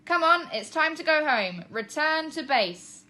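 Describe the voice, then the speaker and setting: A woman's voice: high-pitched, sing-song, a little slow, bright, forceful tone, pitched above the performer's own voice, exaggerated voice. A woman plays a cheeky schoolboy in a children's storybook. He talks in a wry way, giving out lines like a pretend military commander. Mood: urgent, commanding.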